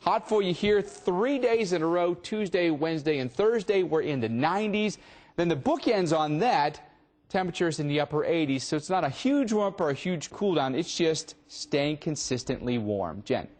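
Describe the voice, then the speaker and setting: A man with an American accent delivers a live weather forecast. His voice sits a little higher than most men's, and his delivery is conversational and emphatic. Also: animated, moderate pace, a little mellow.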